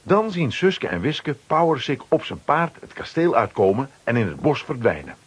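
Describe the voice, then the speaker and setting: A man's voice: mid-range voice, big pitch swings, normal speed, noisy background. A man narrating a children's radio play from a script, dramatically.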